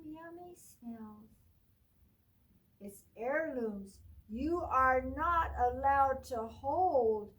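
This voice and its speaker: High, slow storytime woman, far from mic. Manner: slow, sing-song read-aloud with rising emphasis on rhymes; gently coaxing, talks to young listeners. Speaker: children's librarian storyteller. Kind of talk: reading a picture book aloud to children. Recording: voice distant from the mic.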